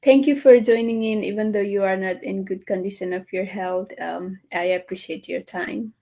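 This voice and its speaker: Young woman from Nepal, live-stream host, mellow voice, halting with ums. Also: medium pitch, steady tone. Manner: hesitant, many fillers, warm welcomes. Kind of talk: live online business interview.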